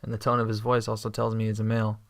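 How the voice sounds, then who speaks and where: Male narrator, first-person sci-fi audio story. Steady, understated, little pitch movement. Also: racing. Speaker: narrator and protagonist.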